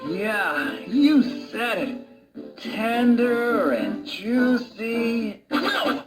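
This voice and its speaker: An earnest male cartoon wolf acted by a woman speaks in a high, lively voice that swings up and down. The delivery is unhurried yet flustered, full of hesitant protests, with music playing behind. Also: full character voice, rough recording. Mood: flustered, protesting. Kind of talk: animated cartoon dialogue.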